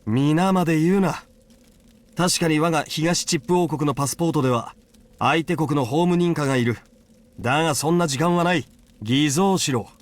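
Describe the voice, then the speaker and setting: An actor playing a brisk, officious and irritable male aide in a video game, giving clipped, deadpan orders and dry grumbles. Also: a little high, natural voice. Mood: insistent. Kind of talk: Japanese video game voice acting.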